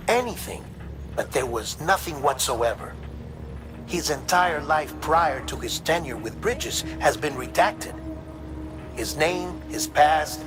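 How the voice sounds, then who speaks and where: Earnest investigator, slightly high voice, music underneath. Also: male voice. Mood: urgent, puzzled. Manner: serious, measured, analytical. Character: earnest male investigator reporting his suspicions to a colleague. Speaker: voice actor in a video game. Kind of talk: scripted dramatic game dialogue.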